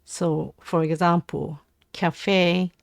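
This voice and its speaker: A Japanese woman with a Japanese accent, practising language aloud on a casual livestream in a halting, hesitant way. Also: lowish voice, fairly even intonation, creaky, no room sound, studio quality. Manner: hesitant, halting English with self-corrections. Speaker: live streamer learning English. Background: computer keyboard typing and clicking.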